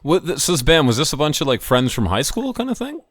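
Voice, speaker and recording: A man's voice: fairly high pitch, very animated, fast, breathy edge, no echo. A man hosting an interview and asking his guest friendly, chatty questions. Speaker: interview host.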